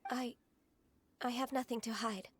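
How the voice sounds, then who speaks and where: A woman voicing a gentle, dutiful young half-human immortal in an anime-style video game, polite and earnest. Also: a little high, steady tone, a little slow, a little hiss or hum, close to an everyday voice. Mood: apologetic, earnest. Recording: music behind the voice.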